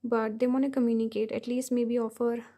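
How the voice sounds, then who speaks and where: A woman giving a pick-a-card tarot reading, her voice hoarse and strained from many readings, talking through her impressions at an unhurried pace. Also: fairly high pitch, flat delivery, dark tone. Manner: thinking aloud, unhurried. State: hoarse, tired voice. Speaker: tarot reader.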